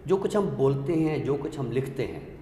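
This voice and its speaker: A man's voice: mid-range voice, animated, dark tone. Scholarly man in an echoey room. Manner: measured, scholarly, with rhetorical questions. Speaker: literary expert guest on a talk show. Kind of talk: explanatory literary discussion. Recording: echoey hall-like room with faint noise.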